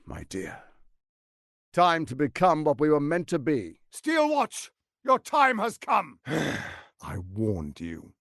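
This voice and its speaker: A man's voice: a little high, fairly bright tone, dry recording, studio quality, full character voice. A man voicing a suave, scheming, arrogant archduke in a fantasy video game, silky, grandiose and condescending in his theatrical delivery. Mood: smooth, commanding, then angry, threatening.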